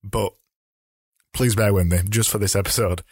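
A man hosting a solo advice podcast, talking in a chatty, explanatory way, slightly hoarse as he loses his voice. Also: fairly low pitch, steady tone, normal speed, no echo, clean studio sound. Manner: chatty, explanatory, with frequent restarts. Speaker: podcast host.

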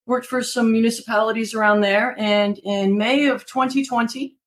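A woman's voice: on the high side, fairly even intonation, normal speed. An American woman from Maryland speaking as an interview guest, a city manager talking conversationally and with upbeat conviction. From Maryland, United States.

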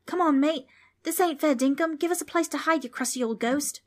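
High-pitched plucky kid, a woman acting. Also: fairly quick, natural voice. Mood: pleading. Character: plucky Australian child, loud slangy and dramatic.

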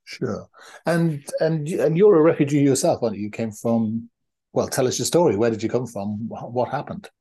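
A man's voice: mid-range voice, normal speed, warm tone. A man hosting a podcast, reading an intro and then interviewing a guest with frequent ums and restarts. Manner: hesitant, many ums and restarts. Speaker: podcast host. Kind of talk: conversational interview.

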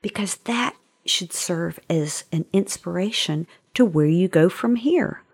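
A woman with an American accent, an author hosting a segment with an animated talk to young listeners. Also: lowish voice, a little slow, slightly rough.

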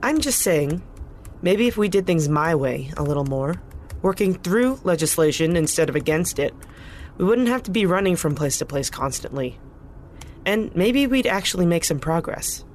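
A woman playing a cautious, defensive reformer with a measured way of talking in an audio drama. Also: on the low side, animated, a little mellow, a little hiss or hum, lightly acted. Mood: frustrated. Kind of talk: naturalistic audio drama acting.

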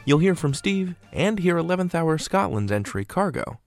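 A man's voice: on the high side, big pitch swings, moderate pace, creaky, darkish tone, no echo, studio quality, soft background music. A man hosting an audio drama podcast, introducing the segment and interviewing a guest.

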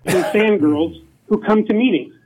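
A man speaking as a township supervisor at a public meeting, measured and formal. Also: high voice, darkish tone, breathy edge. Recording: dull lo-fi meeting recording.

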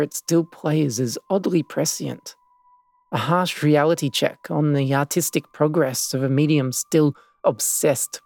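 A man hosting and narrating a scripted documentary in a measured, reflective way. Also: a little high, warm tone, no room sound. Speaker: podcast host and narrator.

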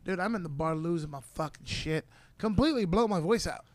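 A man telling loud, swearing road stories in a casual studio chat. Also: high voice, brisk, no echo. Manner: swears a lot, tells stories with loud laughs. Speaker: comedian, host. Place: podcast studio.

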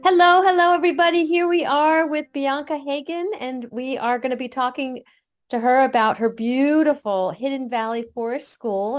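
A woman hosting an outdoor education show, introducing a guest and interviewing her in a gushing, effusive way that repeats words. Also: high voice. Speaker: podcast host and interviewer. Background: music under the intro.

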